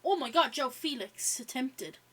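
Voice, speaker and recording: A woman's voice: sits high, animated, a little slow, fairly bright tone, husky. A woman with an Irish accent hosting a live watch-along stream. She chats casually, reads viewer messages aloud and leans on frequent "um" and "yeah" fillers. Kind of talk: live watch-along chat and match reactions.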